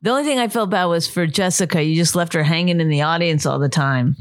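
A woman's voice: lowish voice, quick pace, no echo, clean studio sound. Woman co-host, chatty and supportive. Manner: supportive, frequent backchannel. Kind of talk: casual chat.

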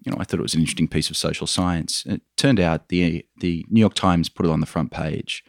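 A man's voice: sits low, fairly even intonation, a little fast, no room sound, studio quality. A man with an Australian accent explaining his research conversationally as an interview guest.

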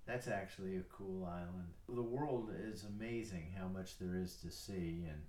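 This is a retired older man with a deep, low voice, chatting in an easy, self-deprecating way. He sits back from the mic, and the room echoes around him. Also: normal speed, a little mellow, distant mic, slight background noise. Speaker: guest, retired airline pilot. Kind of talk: casual chat.

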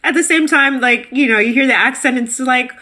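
Woman guest co-host, bright clear voice, animated chat full of ums and likes. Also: fairly quick. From United States. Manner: animated, pitch jumps when excited, many fillers. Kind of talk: casual podcast conversation.

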